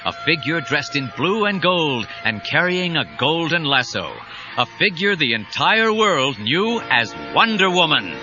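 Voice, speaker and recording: A man's voice: fairly high pitch, very animated, unhurried. A man narrating a scripted adventure story in a dramatic storytelling style. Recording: dull cassette sound, music behind.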